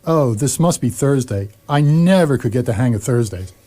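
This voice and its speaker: A man's voice: mid-range voice, animated, normal speed, warm tone, close to an everyday voice. An actor playing a bewildered, polite, put-upon ordinary man in a radio comedy, often hesitant and exasperated. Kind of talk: radio comedy drama acting.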